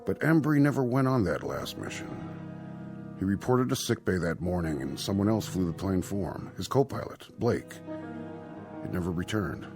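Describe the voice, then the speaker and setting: An American physician in a radio drama, businesslike yet concerned. His lowish voice moves with animated inflection as he explains and reassures. Soft music sits underneath. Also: normal speed. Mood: explanatory, grave. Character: concerned, businesslike male hospital doctor. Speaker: actor.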